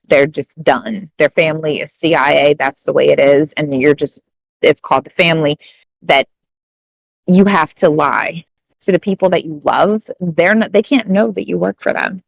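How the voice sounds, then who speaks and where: A woman's voice: lowish voice, creaky, no room sound. A woman talking in a casual, rambling way, often restarting her sentences and swearing. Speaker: speaker sharing her story. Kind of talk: casual spoken monologue. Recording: muffled, dry lo-fi audio.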